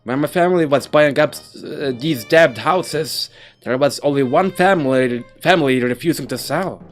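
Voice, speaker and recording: Reader doing a cold snooty clan heir, low and slow, condescending, soft music under it. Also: close to an everyday voice. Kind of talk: visual novel voice reading.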